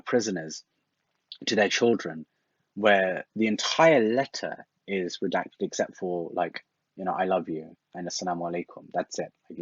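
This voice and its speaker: A man's voice: sits low, husky, no room sound, dull audio. A man hosting a book review series, talking casually from his home library with many fillers and restarts. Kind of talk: casual talk, reviewing books.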